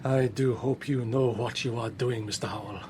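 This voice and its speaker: An actor playing a proud, suspicious ship's captain in a radio drama, husky-voiced, blunt and formal. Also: male voice, mid-range voice, steady tone, moderate pace, darkish tone, slight background noise, lightly acted. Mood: wary.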